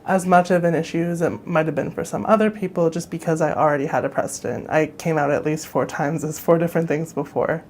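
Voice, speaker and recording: A woman's voice: low-pitched, steady tone, fairly quick, few pauses, a little mellow, slight background noise. A woman of twenty talking candidly in an interview. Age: 20s. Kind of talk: interview testimony.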